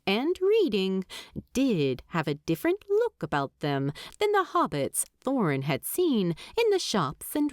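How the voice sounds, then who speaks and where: A woman reading scripted story narration as an audiobook narrator, expressive and deliberate. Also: mid-range voice, dry recording, clean studio sound.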